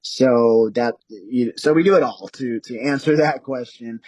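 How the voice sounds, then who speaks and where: A man with a medium-pitched voice, recorded very dry, talks as an interview guest. He explains in a casual way, phrase by phrase, with hesitant starts. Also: measured pace, darkish tone, dry recording, poor audio. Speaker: interview guest, audio drama producer.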